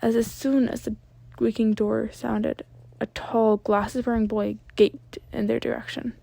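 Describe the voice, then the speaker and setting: A woman's voice: a little high, level tone, a little slow, gravelly, warm tone, no echo. A woman reading scripted fiction aloud as an amateur story narrator.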